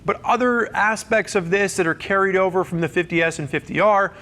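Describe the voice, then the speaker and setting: A man's voice: high-pitched, a little fast, sharp tone. A man presenting a gear review in a conversational, explanatory way, talking through features as a semi-scripted walkthrough.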